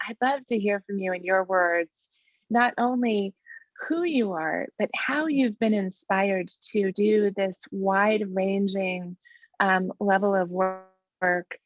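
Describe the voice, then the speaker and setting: A woman's voice: mid-range voice, slow pace, no echo, dull audio. A woman hosting an interview, asking questions in a polite, formal way. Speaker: interviewer, executive director.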